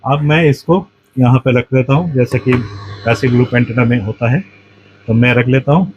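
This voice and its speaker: A man giving a casual hands-on demonstration, speaking haltingly and restating his phrases. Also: medium pitch, steady tone, darkish tone. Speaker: host demonstrating a radio antenna kit. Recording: dull, muffled lo-fi sound.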